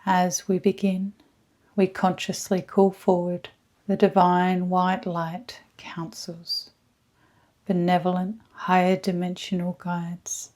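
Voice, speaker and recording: A woman guides a meditation in a very unhurried, measured voice. Her tone is mellow and hushed, staying quite even in pitch. She pauses often between short, soothing phrases, with some breathiness. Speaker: guided meditation leader, energy healer. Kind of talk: slow scripted guided meditation.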